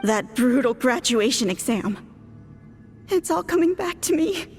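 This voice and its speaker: Uneasy game priestess, hesitant, slow delivery, slightly high, reverberant with faint music. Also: female voice, lively intonation, darkish tone. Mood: puzzled, anxious. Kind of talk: video game character acting.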